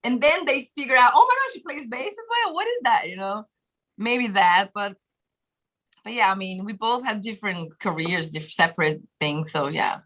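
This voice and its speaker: A woman with a Brazilian accent talks through a video call from a room at home. Her voice is bright and forward, her speech loose and chatty, full of fillers and easy laughter. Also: mid-range voice, moderate pace. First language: Portuguese. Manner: animated, laughs often, many fillers. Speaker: guest musician. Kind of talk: casual interview chat. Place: bedroom home studio, indoors. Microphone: webcam video call.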